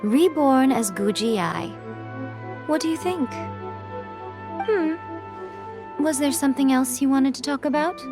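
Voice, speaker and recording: Elegant fox spirit priestess for a game: sly, mocking, highish lively voice, soft music under it. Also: female voice, a little slow, long pauses. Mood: playful, sly. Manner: playful, knowing, mocking. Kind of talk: video game voice acting. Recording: faint music behind the voice.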